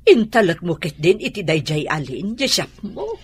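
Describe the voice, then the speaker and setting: A woman's voice: fairly low pitch, sing-song, normal speed, breathy edge, exaggerated voice. A woman playing a worried middle-aged mother with an Ilocano Filipino accent in a radio drama, questioning with concern. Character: worried, fussing mother of a grown son.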